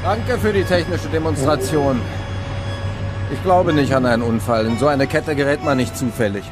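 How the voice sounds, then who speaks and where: A man's voice: a little high, normal speed, over music, natural voice. A man playing a sober, sceptical police investigator in a German radio crime drama. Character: sober, sceptical male police investigator, narrating his case.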